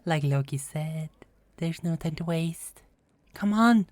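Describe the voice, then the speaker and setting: A woman acting in a fantasy audio roleplay as an expressive goddess, sensible and playfully bossy. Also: deep voice, slow pace, no echo.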